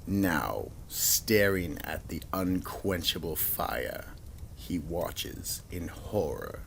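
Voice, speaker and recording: A man narrating scripted horror as storyteller and announcer, slow, theatrical and ominous. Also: low-pitched, gravelly, a bit bright, a little hiss or hum.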